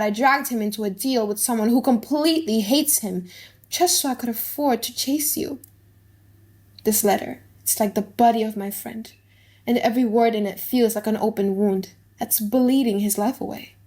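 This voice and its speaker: A woman's voice: fairly high pitch, darkish tone, natural voice. A woman voicing a remorseful young nobleman, a devoted friend, in an earnest, confessional audiobook play reading.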